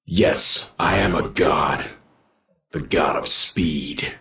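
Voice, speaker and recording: A man voicing a menacing, godlike speedster villain, declaiming grandly and slowly in a husky, rumbling voice. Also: bright, forceful tone, soft background music, heavily acted. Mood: grandiose menace.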